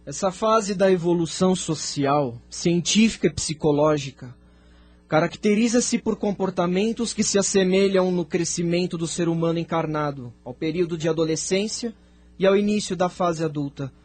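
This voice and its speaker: A man with a Brazilian accent playing a venerable, thoughtful spirit sage in an audio drama, speaking in a measured, formal, declamatory way. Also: high voice, a little mellow, soft background music. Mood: solemn, reflective. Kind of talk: scripted audio drama dialogue.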